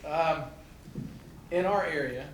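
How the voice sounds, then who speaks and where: A man's voice: on the high side, slow pace. A man in a class casually asking a question, thinking aloud. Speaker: student. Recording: distant mic in echoey room with noise.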